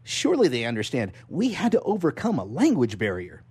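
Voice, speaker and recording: Man playing a husky, tactful corporate lawyer. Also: mid-range voice, wildly swooping pitch, brisk, darkish tone, voice pushed up, heavily acted. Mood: uneasy. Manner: placating, deferential. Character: tactful, anxious male legal counsel soothing his boss.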